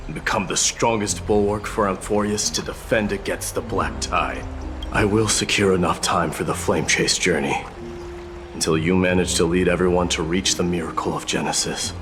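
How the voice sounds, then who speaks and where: An actor playing a proud, resolute warrior prince and demigod in a video game, speaking formally and firmly. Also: male voice, sits low, steady tone, moderate pace, natural voice. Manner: formal, solemn, boldly declarative. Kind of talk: dramatic video game voice acting. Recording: loud music behind the voice. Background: game music.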